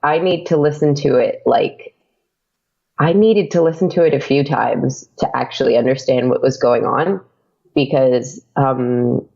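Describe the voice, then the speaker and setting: Gravelly woman in dull lo-fi audio. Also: extremely low pitch, unhurried, dark tone. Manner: chatty, rambling, laughs often. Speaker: audio drama podcaster, guest. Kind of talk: casual interview chat.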